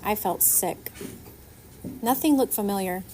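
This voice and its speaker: A woman narrating a children's novel aloud in an expressive storytelling style. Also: medium pitch, measured pace, low-quality recording. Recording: faint background noise.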